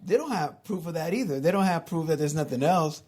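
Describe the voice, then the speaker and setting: Dude with a pretty high voice wondering out loud, lots of "like", chill podcast talk. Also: male voice, expressive, brisk. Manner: musing, asks big questions, heavy "like" filler. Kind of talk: casual podcast chat.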